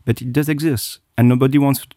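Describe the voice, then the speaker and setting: A man's voice: medium pitch, fairly even intonation, a little fast, dark tone, dry recording, clean studio sound. A middle-aged man, a guest telling his personal story with many hesitations and restarts. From South Korea. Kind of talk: interview, personal account.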